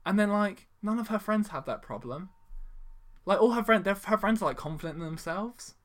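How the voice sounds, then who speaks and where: A man's voice: high voice, expressive, fairly quick. A man co-hosting a casual film podcast, rambling and emphatic as he complains. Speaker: podcast co-host. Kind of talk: casual film review chat.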